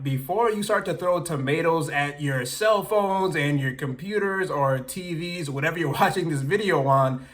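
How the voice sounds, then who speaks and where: Man, slightly higher pitch, chatty passionate explainer to camera, laughs easily. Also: a bit bright. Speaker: language learning YouTuber.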